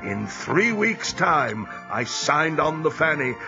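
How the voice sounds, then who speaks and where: A man's voice: fairly high pitch, very animated, slow, creaky, full character voice. An actor playing a reflective seaman who narrates his boyhood adventure as scripted audio drama. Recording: dull audio with music behind.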